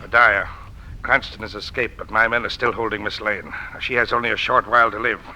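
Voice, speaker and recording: An actor playing a hot-tempered, threatening tough in a blustering 1940s radio drama style. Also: male voice, fairly low pitch, fairly even intonation, fairly quick, pushed and bright. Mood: grim. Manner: blustering, accusatory. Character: hot-tempered, threatening male pursuer with henchmen. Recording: noisy old radio recording.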